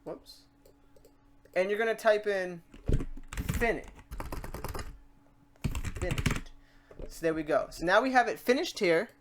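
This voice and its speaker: Casual software tutorial host, androgynous mid-range voice, informal, thinks aloud while explaining steps. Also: medium pitch, animated, slow pace, long pauses, noisy background. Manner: informal, talks self through steps.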